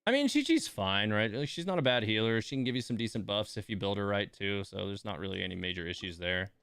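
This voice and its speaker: A man with a fairly low, smooth voice, recorded dry and close. He streams video games, talking through his play casually and thinking out loud in a laid-back manner. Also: lively intonation, fast talker, dry recording. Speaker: video game live streamer. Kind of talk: casual live gameplay commentary.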